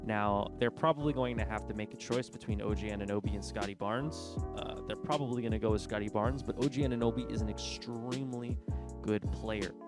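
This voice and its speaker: A man's voice: on the low side, fairly quick, music in the background. A man with a cold and a stuffy, congested voice, rambling informally as he hosts a casual basketball chat. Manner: rambling and informal, thinking aloud. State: congested from a cold, sore throat. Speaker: basketball video host. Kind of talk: casual sports commentary.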